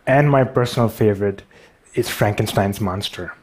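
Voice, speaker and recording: A man's voice: on the low side, moderate pace, background noise. A man in his thirties with a Pakistani accent, giving a stage talk with wry, self-deprecating humor, on a headset mic in a large theater. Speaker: speaker.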